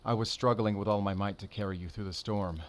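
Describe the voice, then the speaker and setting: A man playing a brooding, tender but guarded werewolf hunter in an intimate audio roleplay, speaking softly and haltingly. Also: fairly low pitch, fairly even intonation, close to an everyday voice. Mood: confessional, yearning. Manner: soft, halting, intimate, earnest delivery.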